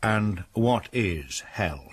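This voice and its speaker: A stern, pious school patron lectures in cold, severe tones. He preaches with measured weight in an old-fashioned radio drama, with a light hiss under him. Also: on the low side, animated. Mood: preaching.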